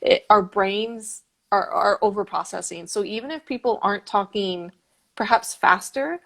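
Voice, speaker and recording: Female interviewer, medium pitch, informal and agreeable, explanatory conversational delivery. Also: normal speed, creaky, a bit bright.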